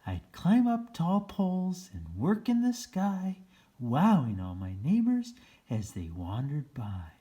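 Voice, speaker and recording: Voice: mid-range voice, wildly swooping pitch, slow, mellow. Çok değişken tonlamalı, ağır tempolu çocuk kitabı okuyucusu. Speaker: children's story reader. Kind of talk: children's picture book read-aloud.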